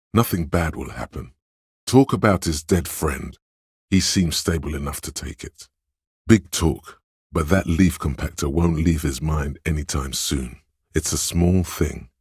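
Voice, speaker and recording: An extremely deep, husky inner voice from a detective game. It is sly and coaxing, placing each phrase with theatrical deliberation. The sound is gravelly and very dry, close and free of room. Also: male voice, darkish tone, dry recording, exaggerated voice. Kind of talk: scripted game voice-over narration.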